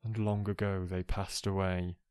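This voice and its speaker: Man, very low smooth voice, calm poetry reading, unhurried, close dry sound. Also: no echo. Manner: calm, measured, soothing delivery. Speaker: poetry reader. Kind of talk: slow poetry reading for relaxation.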